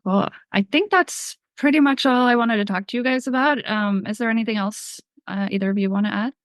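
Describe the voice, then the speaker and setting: A woman from Sweden hosting a podcast interview, asking relaxed, chatty questions with a lot of "like". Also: medium pitch, fairly quick, no room sound, clean studio sound. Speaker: podcast host. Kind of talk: casual interview.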